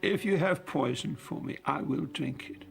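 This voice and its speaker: An actor playing a frail, confused old king in his eighties in a classical audio drama, gravelly and halting. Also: on the high side, soft background music. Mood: humbled, sorrowful. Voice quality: gravelly, creaky. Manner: halting, bewildered.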